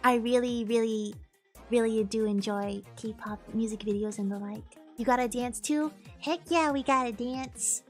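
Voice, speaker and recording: Upbeat young woman chatting w her stream, pretty high voice, faint music in the back. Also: fairly even intonation, a little slow. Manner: bubbly, upbeat, with playful bits of singing. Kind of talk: casual chat with stream viewers.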